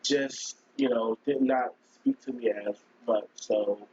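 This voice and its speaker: A man with a cold, hoarse and scratchy, chatting casually on a live stream and rambling as he keeps clearing his throat. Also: medium pitch, fairly even intonation, slow pace, darkish tone, muffled recording. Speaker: gaming streamer.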